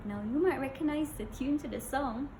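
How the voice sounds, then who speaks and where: A woman's voice: high voice, a little fast, dark tone. A woman reading a storybook aloud to young children in a sing-song manner, asking her listeners questions. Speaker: children's read-aloud host. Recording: echoey room with background noise.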